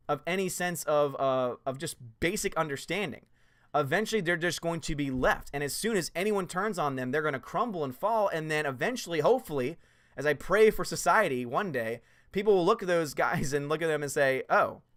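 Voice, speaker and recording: A man talks on his livestream with a fairly high voice, reading viewer comments and slipping into mocking put-on voices. The sound is dry and close, with no room around it. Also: dry recording. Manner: animated, puts on mocking voices. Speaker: host reading live chat.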